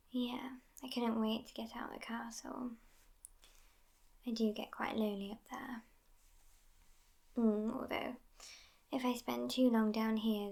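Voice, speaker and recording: A woman acts out a haughty, sassy vampire girlfriend who has lived for centuries yet sounds youthful. She talks slowly and languidly in a hushed, near-whispered ASMR style. Her female voice sits a little high and often slips into fry. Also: heavily acted. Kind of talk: soft-spoken ASMR roleplay.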